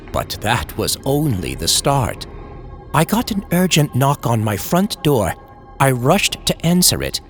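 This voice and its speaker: A man's voice: on the high side, fairly bright tone, heavily acted. A man playing a tormented horror writer, narrating his fears in a grave, brooding, formal old-time radio style. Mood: uneasy recounting. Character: tormented male horror writer fearing demons, guilt-ridden. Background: music.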